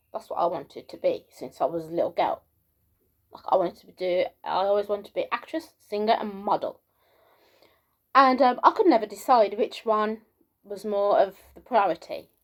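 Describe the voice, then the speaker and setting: Soft girlish British woman, rambling. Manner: rambling, many fillers, pitch climbs as she gets going. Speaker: vlogger. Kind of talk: casual monologue to camera.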